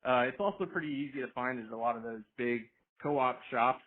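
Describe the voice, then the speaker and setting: A man explaining things informally as a product specialist, halting and often repeating his words. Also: mid-range voice. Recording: tinny, noisy phone conference line.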